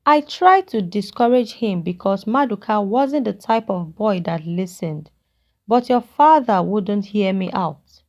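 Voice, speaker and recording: A woman voicing a kindly, regretful old man who talks in a measured, fatherly way in a narrated folktale. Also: medium pitch, expressive. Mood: reminiscing, regretful. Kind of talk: character dialogue in a narrated folktale.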